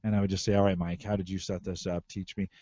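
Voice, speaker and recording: A man's voice: low voice, flat delivery, fast talker, warm tone, breathy edge, no echo, highs cut off. A middle-aged American man hosting a casual tech podcast, repeating phrases for emphasis. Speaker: podcast host. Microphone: close podcast microphone through mixer.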